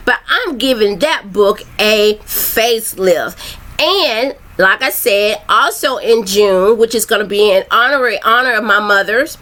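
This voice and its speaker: Bright-toned chatty woman author. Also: mid-range voice. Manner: loose and chatty, many ums and restarts. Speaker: self-esteem coach and author. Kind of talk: casual live video chat.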